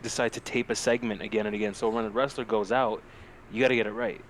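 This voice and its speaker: A young man co-hosting a podcast in a casual, animated, rambling and sweary chat about films. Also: lowish voice, fast talker. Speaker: podcast co-host. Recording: clearly noisy amateur podcast audio.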